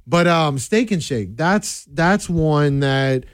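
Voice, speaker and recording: A man from southern Louisiana hosting a casual radio talk show with a softer voice and a halting, conversational way of talking, close on a studio mic. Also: fairly high pitch, lively intonation, dry recording. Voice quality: softer, less projecting voice. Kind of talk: casual radio chat with listener messages. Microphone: close studio microphone.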